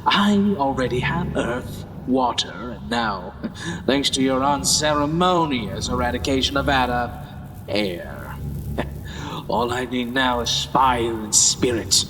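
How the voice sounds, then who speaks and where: An actor playing a gloating, scheming villain who serves a dark master, with smug, mocking menace, in a fantasy audio drama. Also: male voice, medium pitch, expressive, slow. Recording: long reverb, loud background noise.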